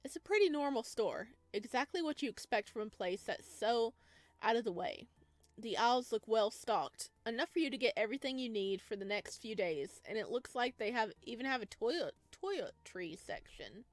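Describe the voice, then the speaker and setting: A woman reading the text of a horror visual novel aloud at an even pace, stopping for chatty asides and giggles. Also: fairly high pitch, normal speed, no echo. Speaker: game streamer.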